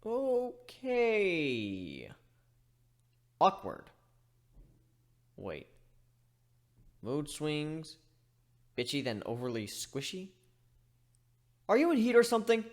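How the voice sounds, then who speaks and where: Man voicing a cocky, lively griffin inventor. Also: on the high side, drawn-out words, pauses often, full character voice. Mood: sarcastic, awkward. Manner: flippant, sarcastic. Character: cocky, reckless male griffin inventor, sarcastic and merry. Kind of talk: dramatic reading of fan fiction.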